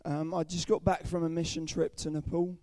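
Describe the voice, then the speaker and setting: A young man with a croaky, under-the-weather voice giving an earnest personal testimony at a church service, with frequent ums. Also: a little high, flat delivery, fairly quick, warm tone, no room sound. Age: young adult. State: hoarse, croaky voice from illness. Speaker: student speaker at a church service.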